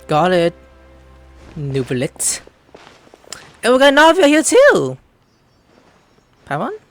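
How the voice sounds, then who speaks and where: A woman's voice: lowish voice, wildly swooping pitch, slow, faint music behind. A woman giving casual, slangy commentary on a game playthrough, often muttering to herself. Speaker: gaming video host.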